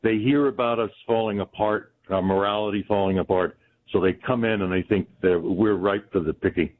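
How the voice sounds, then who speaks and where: A man calling in to a radio show, speaking earnestly and haltingly with fillers. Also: on the low side, steady tone. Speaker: caller. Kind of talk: call-in on a talk show. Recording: tinny phone line.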